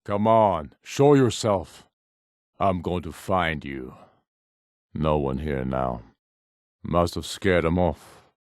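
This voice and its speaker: Deep-voiced, commanding rebel warrior jarl. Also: male voice, slow pace, pauses often, darkish tone, no echo, clean studio sound. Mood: low, searching. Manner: grave, authoritative, formal. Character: proud, commanding Nord rebel leader, fierce warrior jarl. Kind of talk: dramatic fantasy video game voice acting.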